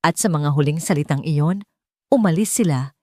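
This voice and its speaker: A woman's voice: deep voice, normal speed, darkish tone, no echo, studio quality. A woman reading a scripted romance story as a dramatic audiobook narrator.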